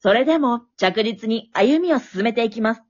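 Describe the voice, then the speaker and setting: A woman playing an excitable, wisecracking storyteller, narrating a comic radio drama like play-by-play with dramatic flair. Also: on the high side, normal speed. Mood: wry, exasperated. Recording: dull, lo-fi recording.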